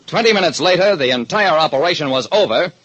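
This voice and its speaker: A man's voice: a little high, lively intonation, quick pace, background noise, natural voice. A man as a dry, wry private eye. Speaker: narrator. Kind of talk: 1950s radio drama narration. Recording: dull lo-fi old radio broadcast.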